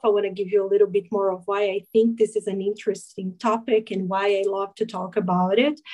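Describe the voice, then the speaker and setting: Woman, Brazilian accent, forties, warm dark tone, steady explaining, video call audio. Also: medium pitch, poor audio. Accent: Brazilian Portuguese. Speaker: guest speaker. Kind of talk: presentation to a video meeting.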